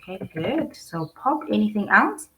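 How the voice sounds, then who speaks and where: A woman's voice: medium pitch, big pitch swings, normal speed, noisy background. A woman teaching an online English class over a video call, encouraging and giving detailed feedback.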